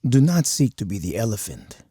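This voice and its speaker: A man playing a stern, uncompromising army colonel in a strategy game, speaking in measured declarations with a gravelly, husky voice. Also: on the low side, big pitch swings, mellow, dry recording, clean studio sound. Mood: menacing, calculating. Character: uncompromising hawkish male army colonel, grim military strategist. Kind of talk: scripted game voice lines.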